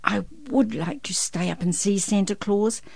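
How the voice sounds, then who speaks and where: A woman's voice: mid-range voice, moderate pace, creaky, a little mellow, husky, dry recording. A woman voicing an eager, polite little boy in a children's story. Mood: pleading. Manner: eager, wide-eyed.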